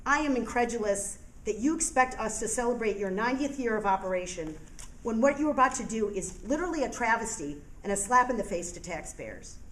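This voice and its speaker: An American woman delivering a prepared public comment in a forceful, indignant, emphatic manner. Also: fairly high pitch, expressive, fairly quick, poor audio. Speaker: resident giving public comment at a board meeting. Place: indoor public meeting room. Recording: clear room noise, public meeting.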